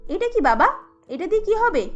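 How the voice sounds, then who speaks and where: A woman's voice: extremely high pitch, very animated, moderate pace, muffled recording, soft background music, pitched above the performer's own voice. A woman voicing an earnest, anxious young daughter-in-law in a cartoon, pleading and polite. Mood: worried, pleading.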